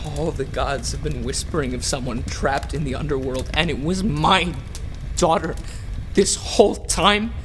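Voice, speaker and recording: A man's voice: a little high, a little slow, a bit bright, slight background noise. A man playing an indignant, accusing god and outraged father in a confrontational radio drama. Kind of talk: dramatic radio play acting.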